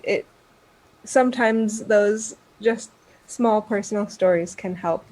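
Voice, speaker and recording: Woman guest expert explaining stuff in an interview, earnest, takes it slow, medium pitch. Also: a little mellow, low-quality recording. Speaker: guest expert on environmental communication.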